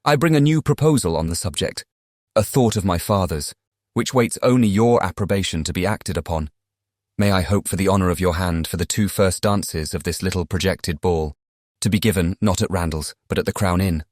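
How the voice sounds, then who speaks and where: Male actor as charming young Regency gentleman, British accent, low pitch, dry close sound, eager, eloquent, audiobook drama. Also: brisk, no echo. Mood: eager, persuasive. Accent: British English.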